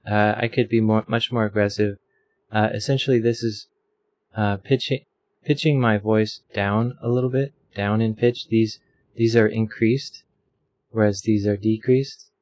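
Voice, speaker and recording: Casting: man, slightly low voice, home desk mic, rambling tutorial delivery full of ums. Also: a little mellow. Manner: rambling, self-deprecating, many fillers. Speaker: streamer explaining audio settings. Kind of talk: casual tutorial. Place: home room with a fan running. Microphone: desk microphone.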